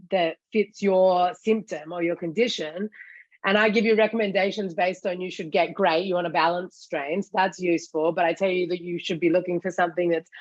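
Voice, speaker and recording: An Australian woman in her thirties talks through her answers in a steady, explanatory way. Her voice sits low, and the sound is dull and muffled with no room around it, like a video call. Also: no room sound. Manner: articulate, explanatory, quick to laugh. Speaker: CEO of a cannabis education site. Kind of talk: casual interview answers.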